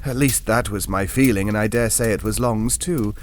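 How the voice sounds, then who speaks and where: An actor playing an earnest, bookish gentleman who recounts an eerie tale in an audio drama, wry, hesitant and reflective. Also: lowish voice, normal speed, slight background noise, natural voice. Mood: reflective, uneasy. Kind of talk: ghost story narration in audio drama.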